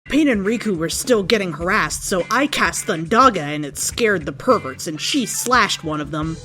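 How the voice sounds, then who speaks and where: Woman, lowish pitch, mock-serious story narration, comic fan-fiction reading, music underneath. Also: expressive, normal speed, bright, forceful tone. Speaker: story reader.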